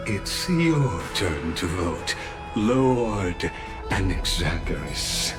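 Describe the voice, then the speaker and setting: Brief: formal herald, low voice, very slow and weighty, score behind. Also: male voice, very animated, music in the background, exaggerated voice. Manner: formal, deliberate announcements. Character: grave, formal male assembly herald. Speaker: assembly official overseeing a vote. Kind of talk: video game voice acting.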